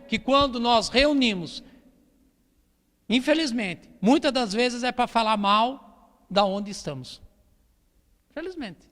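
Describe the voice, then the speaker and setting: A man's voice: very high-pitched, fairly bright tone. A man with a Brazilian Portuguese accent preaching a church sermon, exhorting with repetition and rhetorical questions. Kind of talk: church sermon with Bible reading. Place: church hall during a service. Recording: long hall reverb.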